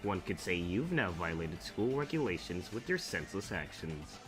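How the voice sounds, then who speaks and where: A man's voice: low voice, sing-song, moderate pace. A man voicing a cold, condescending young heir, formal and detached, in a fan dub. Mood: smug. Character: condescending, cold rich high-school heir. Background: music.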